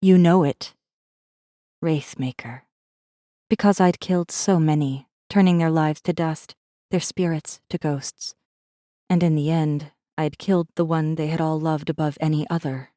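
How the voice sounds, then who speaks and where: A low, mellow-voiced defiant captive. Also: female voice, steady tone, measured pace, no echo, studio quality. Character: defiant, bitter captive woman, a rebel assassin. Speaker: audiobook narrator. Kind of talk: first-person dramatic narration.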